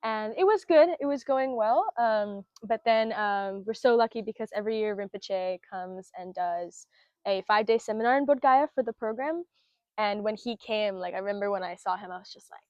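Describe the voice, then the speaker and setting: A young woman in her twenties from Florida tells her story in an earnest, casual way. She pauses on fillers and laughs lightly between thoughts. The sound is a little muffled and very dry. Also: mid-range voice, a little mellow, no room sound. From Florida, United States. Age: 20s. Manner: earnest, lots of fillers and laughs, acts out quotes. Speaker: interviewee, Buddhist student. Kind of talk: casual spoken interview.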